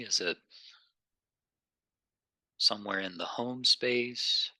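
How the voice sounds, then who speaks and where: A man leading a guided meditation in a gentle, unhurried, conversational way, pausing between phrases. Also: mid-range voice, no room sound.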